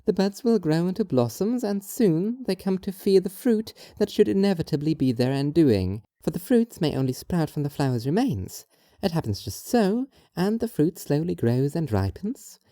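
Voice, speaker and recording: Dark-toned, earnest, strange young woman, acted. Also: lowish voice, very animated, no room sound, clean studio sound. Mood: philosophical, intent. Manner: formal, measured, literal-minded. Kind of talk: audio drama monologue and dialogue.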